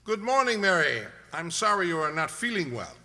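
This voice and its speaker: A man playing a brisk, inquisitive gentleman detective in a radio mystery play, speaking precisely and formally. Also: sits high, very animated, heavily acted. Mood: polite, candid. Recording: reverberant hall-like sound.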